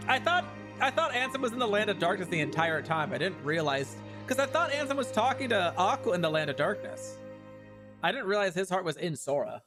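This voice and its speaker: A man's voice: sits high, big pitch swings, brisk, music in the background. A man livestreaming casual game commentary, musing and reacting aloud in loose fragments.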